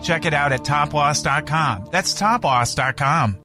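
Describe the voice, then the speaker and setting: A man's voice: fairly high pitch, measured pace. A man reading a radio commercial as an announcer, in a polished ad style. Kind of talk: upbeat radio ad read. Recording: music bed behind voice.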